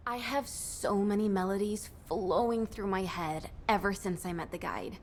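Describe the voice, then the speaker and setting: A woman playing a dreamy, excitable young music-obsessed character in a video game. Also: mid-range voice, moderate pace, slight background noise, natural voice. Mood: dreamy, wistful. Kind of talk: scripted game dialogue.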